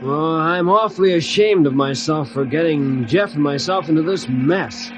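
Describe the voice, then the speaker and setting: Earnest boy played by a man, eager, raised lively pitch, noisy vintage radio drama. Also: normal speed, close to an everyday voice. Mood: relieved, contrite. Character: earnest, contrite boy climber. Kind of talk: old-time radio adventure acting.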